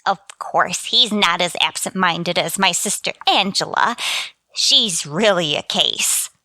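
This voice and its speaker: A woman's voice: lowish voice, slow pace, pushed and bright, dry recording. An actress playing a chirpy, scatterbrained, motherly landlady in a scripted radio comedy, in a sing-song, absent-minded manner. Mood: dithery, rambling. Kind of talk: scripted radio sitcom acting.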